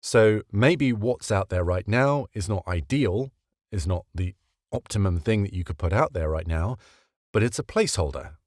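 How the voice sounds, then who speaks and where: A man's voice: fairly low pitch, expressive, normal speed, a little mellow, dry recording, clean studio sound. A man talking casually and explaining like a coach, posing questions and repeating key words for emphasis. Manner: conversational, rhetorical questions, emphatic repetition. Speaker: voiceover coach giving career advice. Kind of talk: casual explanatory talk to camera.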